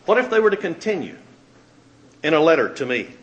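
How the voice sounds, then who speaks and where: Male reader, bit high voice, dramatic letter reading with lots of pitch movement. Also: big pitch swings, brisk, dull audio. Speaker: magazine editor and radio minister.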